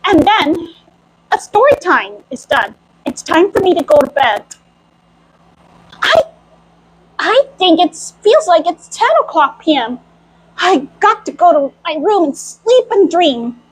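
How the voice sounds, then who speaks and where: A woman's voice: very high voice, big pitch swings, a little slow, pauses often, rough recording. A woman doing a storytime in a childlike, playful manner, role-playing as a cartoon mouse girl. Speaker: storyteller in costume. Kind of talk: in-character storytime reading and chat.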